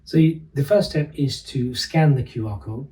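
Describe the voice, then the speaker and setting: A man's voice: medium pitch, normal speed, warm tone. A man from police recruitment explaining the sign-up steps plainly, one at a time. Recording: distant mic with faint noise.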